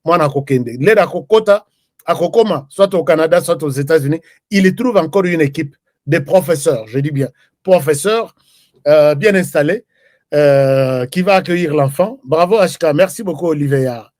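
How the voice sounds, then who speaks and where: A man's voice: on the high side, normal speed, a little mellow, no room sound, clean studio sound. Chatty man hosting a show. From DR Congo. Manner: chatty, switches between French and Lingala, reads viewer comments. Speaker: host. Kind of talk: live political commentary show.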